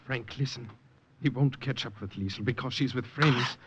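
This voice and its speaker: An actor playing a confident, insistent partisan leader in a 1950s radio drama, speaking with brisk assurance. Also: male voice, mid-range voice, close to an everyday voice. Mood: urgent, assured. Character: urgent, confident partisan leader rallying a rescued spy. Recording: muffled noisy vintage radio recording.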